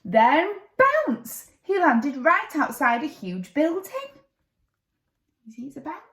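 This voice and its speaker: A woman's voice: high voice, sing-song, takes it slowly, a bit bright. A woman reading a children's story expressively, with dramatic, playful narration, recorded in an echoey room. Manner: dramatic, playful with sound effects.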